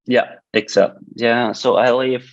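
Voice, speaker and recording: A man in his twenties, a swimmer from Switzerland whose first language is Italian, speaking with a Swiss Italian accent in a halting way, with many restarts, on a video call. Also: medium pitch, flat delivery, no room sound. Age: 20s. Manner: hesitant, many restarts and fillers. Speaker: guest swimmer. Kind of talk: casual interview. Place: indoor room with curtains. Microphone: video call, laptop camera. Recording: dull lo-fi video call audio.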